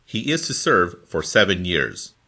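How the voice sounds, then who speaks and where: Deep-ish guy reading the bible phrase by phrase, super expressive pitch, forceful delivery. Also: measured pace. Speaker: scripture reader. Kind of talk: scripted Bible reading in formal translation.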